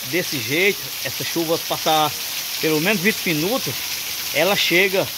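A man's voice: a little high, normal speed, very noisy. A man, filming a storm at night from a porch and talking to viewers about the rain. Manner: chatty, addresses viewers often. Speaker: vlogger filming the weather. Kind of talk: casual on-the-spot narration. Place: covered porch outdoors in heavy rain at night. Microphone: phone camera held by the speaker. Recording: loud pouring rain over voice.

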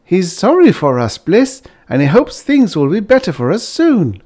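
A man's voice: sits high, huge pitch swings, moderate pace, dark tone, voice pushed up, full character voice. A man playing an earnest, upbeat, proud pioneer husband in an audiobook story, eager and a little boastful.

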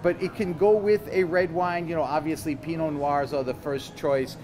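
Higher-voiced middle-aged man, halting explainer. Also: steady tone, noisy background. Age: 50s. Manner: halting, repeats words as he explains. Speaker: chef, interview guest. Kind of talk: conversational explanation. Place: store counter indoors.

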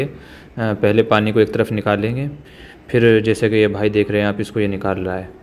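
A man's voice: lowish voice, darkish tone, a little hiss or hum. A man filming outdoors by a pond on a phone, explaining the scene in chatty speech with many fillers. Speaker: vlogger. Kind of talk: casual commentary behind the camera.

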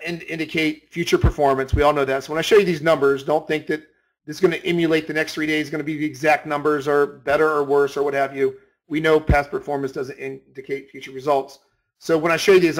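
A man's voice: a little high, low-quality recording. A man giving a casual screen-share tutorial as a trading instructor, explaining informally and repeating phrases for emphasis. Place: voice-over on a screen recording.

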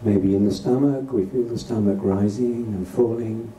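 A man leading a guided meditation as a teacher, speaking gently and unhurriedly in short phrases with pauses between them. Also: on the low side, steady tone. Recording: distant roomy recording with faint noise.

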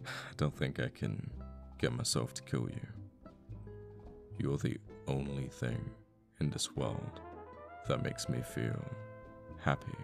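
A very deep, gravelly voice belongs to a conflicted assassin boyfriend played by a man in an intimate audio roleplay. He talks slowly and haltingly, protective and tender toward his lover. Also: full character voice. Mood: vulnerable, tender.

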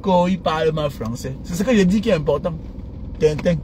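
A man in his thirties with a Cameroonian French accent, ranting informally to his followers in an animated, indignant, mocking way from inside a car. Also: on the high side, fairly quick. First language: an African mother tongue. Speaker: social media commentator. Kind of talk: impassioned informal rant to followers. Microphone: phone at arm's length. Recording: noisy car interior with road traffic.

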